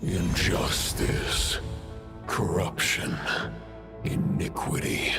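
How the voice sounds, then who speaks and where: A man's voice: low voice, monotone, extremely slow, over music. A man voicing an ancient, grim warrior spirit in a video game, imperious and ominous in delivery. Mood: menacing contempt. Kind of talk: English video game voice acting.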